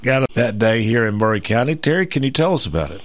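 A man hosting a local radio talk show, introducing guests in a relaxed, halting way with many fillers. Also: medium pitch. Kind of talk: casual radio chat.

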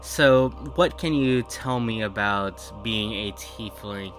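A man with a bright, forceful voice works as a tabletop game master. He frames the fantasy world and puts questions to a player, with music running behind him. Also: fairly low pitch, slow. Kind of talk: scripted intro narration and character interview. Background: background music.

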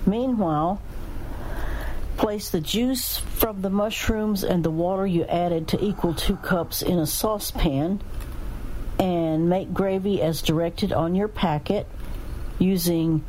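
A woman reading out instructions step by step in a measured way, with plain asides. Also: on the low side, darkish tone, slight background noise, rough recording. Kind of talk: recipe read aloud, step by step.

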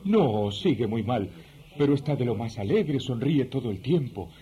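A man's voice: medium pitch, expressive, moderate pace, mellow, lightly acted. A man acting in a radio drama as an eager, scheming magician, insistent and wheedling.

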